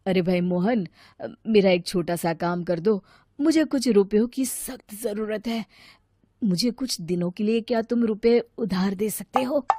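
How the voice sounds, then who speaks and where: A woman's voice: mid-range voice, measured pace, dark tone, close to an everyday voice. A woman voicing a harried, apologetic man who placates his family in a moral story. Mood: earnest. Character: harried, placating adult husband and son, short of money.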